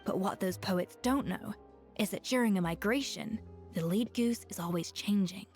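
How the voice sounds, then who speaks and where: An actress playing a proud, exacting master chef with high standards in a video game. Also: female voice, normal speed, a little mellow, lightly acted. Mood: earnest, reflective. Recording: background music behind the voice.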